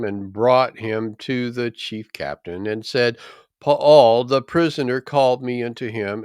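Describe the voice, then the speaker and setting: A man reading scripture aloud in a solemn, measured and declamatory way. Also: mid-range voice, dry recording, studio quality. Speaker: scripture reader.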